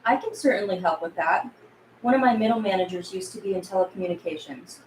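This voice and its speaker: A woman's voice: mid-range voice, quick pace, darkish tone, close to an everyday voice. A woman playing a cynical, shrewd underground boss with a sardonic, hard-edged, profane delivery in a zombie film script read. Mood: businesslike, dry. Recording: roomy, echoey with room noise.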